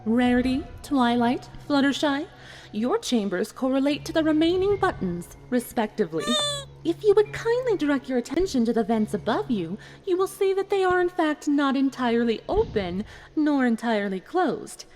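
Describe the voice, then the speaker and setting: A smug, sadistic female pony villain from a story reading, played by a woman. The voice is high and moves widely up and down. The delivery is deliberate, formal and taunting, with faint music behind it. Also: sing-song, darkish tone. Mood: smug, gloating. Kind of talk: dramatic fan fiction reading.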